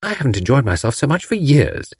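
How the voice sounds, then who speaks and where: A man voicing a lively, cheeky young woman who teases playfully. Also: medium pitch, a little fast, breathy edge, no echo, studio quality, pitched above the performer's own voice. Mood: teasing. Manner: bright, playful, teasing.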